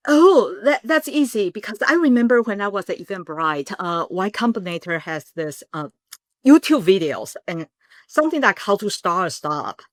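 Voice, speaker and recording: A middle-aged woman from Taiwan, telling her story as a startup founder in a casual interview, earnest and animated in bursts. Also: medium pitch, moderate pace, no echo.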